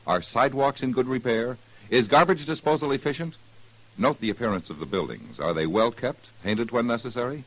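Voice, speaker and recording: A man's voice: mid-range voice, a bit bright, husky, low-quality recording. An American man reading scripted announcements in the style of a 1950s radio announcer.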